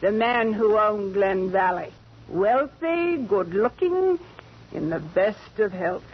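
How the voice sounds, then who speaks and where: An actress playing a grand, boozy older former stage star, theatrical and gushing, in 1940s radio acting. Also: female voice, mid-range voice, expressive, slow pace, slightly rough. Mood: conspiratorial. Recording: muffled old radio recording with hiss.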